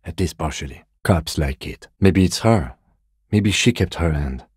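An actor playing a composed, weary police detective in a video game, with dry, deadpan, measured delivery. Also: male voice, low voice, husky, no room sound, heavily acted. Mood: weary, matter-of-fact.

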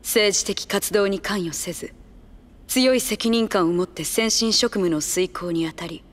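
A woman's voice: medium pitch, a little slow, natural voice. An actress voicing an earnest young male officer, formal and fervent, in a reverberant space. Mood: solemn.

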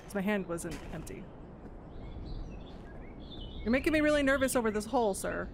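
A young woman casually commentating her Minecraft let's play, excitable and gushing over cute animals, a little hoarse. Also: medium pitch, moderate pace, background noise. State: slightly hoarse. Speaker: gaming YouTuber.

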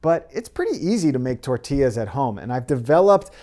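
A man's voice: mid-range voice, sing-song, fairly quick, mellow. A man in his thirties hosting a casual cooking tutorial in his home kitchen, upbeat and chatty as he explains each step into a lapel mic. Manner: upbeat, conversational, explains as he cooks. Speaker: cooking channel host.

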